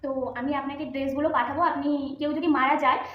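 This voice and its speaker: Young female prank-call vlogger. Fast, casual talk, recorded with room echo and faint noise. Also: high voice, little pitch movement, muffled recording.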